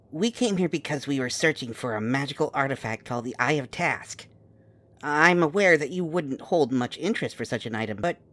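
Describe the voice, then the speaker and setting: A man's voice: on the high side, fairly bright tone. Man playing a wordy, bookish, cautious magic scholar. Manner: wordy, polite, stammers when nervous. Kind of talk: urban fantasy audio drama acting.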